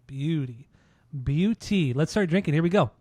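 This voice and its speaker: A man's voice: on the high side, animated, normal speed, darkish tone, a little hiss or hum. A man co-hosting a casual podcast with a friend, laid-back and joking. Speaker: podcast co-host. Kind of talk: casual chat. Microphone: desk microphone on a mixer.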